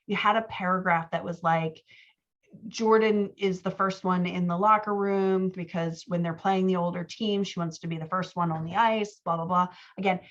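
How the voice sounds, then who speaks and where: A woman's voice: fairly low pitch, steady tone, normal speed, muffled recording. A woman giving informal one-on-one writing feedback as a coach, explaining her edits and acting out example lines.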